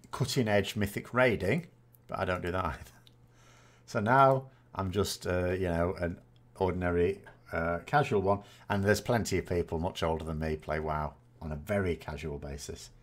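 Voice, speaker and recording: A man, chatting casually and rambling as a gaming host answering viewer questions, often correcting himself with dry self-mockery. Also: low-pitched. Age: 40s.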